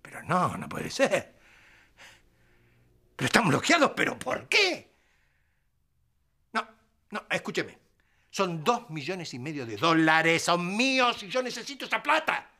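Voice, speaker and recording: A man's voice: high voice, big pitch swings, moderate pace, pushed and bright, full character voice. An actor playing an irascible, overbearing older businessman and father in a TV melodrama, with an Argentine accent and a blustering, imperious manner. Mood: exasperated. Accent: Argentine Rioplatense. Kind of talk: TV melodrama acting.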